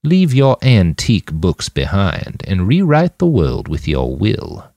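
Man playing a low, grandly coaxing tempter. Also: huge pitch swings, slow pace, warm tone, no echo, exaggerated voice. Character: Jim, charismatic amiable man tempting a follower toward godhood.

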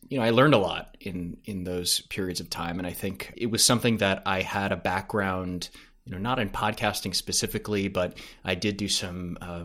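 A low-pitched man answers questions as an interview guest. He talks casually and hesitantly, working out his thoughts as he goes. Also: a bit bright. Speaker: podcast creator, interview guest.

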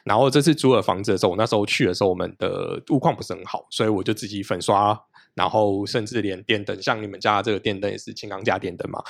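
A man's voice: on the low side, vocal fry, dry recording, studio quality. A man in his late thirties, a podcast guest in casual chat, explaining things at length in a practical, detailed way.

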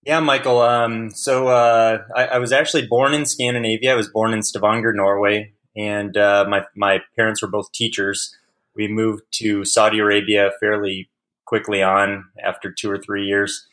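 A man's voice: on the low side, flat delivery, moderate pace, a bit bright. A man being interviewed as a guest on a podcast, answering in a friendly, easygoing way with frequent restarts and fillers. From United States.

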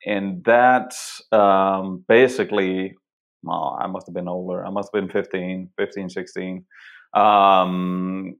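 A middle-aged man from Denmark whose first language is Danish talks as a guest in a relaxed interview. His voice sits low, and he tells personal stories in a conversational, unscripted way. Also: vocal fry. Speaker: interview guest, photographer and writer. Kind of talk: casual conversational storytelling.

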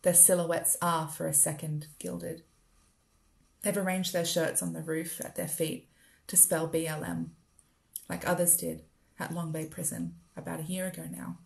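An Australian woman reading her own literary writing aloud in a measured, deliberate, poetic way. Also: fairly low pitch, flat delivery, gravelly. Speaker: writer performing own work. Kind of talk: literary spoken-word reading.

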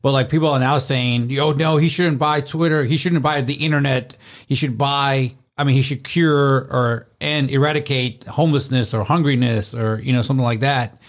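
A man's voice: mid-range voice, steady tone, moderate pace. A man hosting a podcast in casual chat, rambling with lots of fillers. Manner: chatty, rambling with many fillers. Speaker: podcast host.

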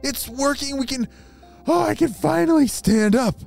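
A storyteller voicing an excitable, wide-eyed young boy spy in a children's adventure tale. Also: high-pitched, moderate pace, vocal fry, faint music behind, voice pushed up. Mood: excited, urgent. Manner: exclaiming, wide-eyed, dramatic.

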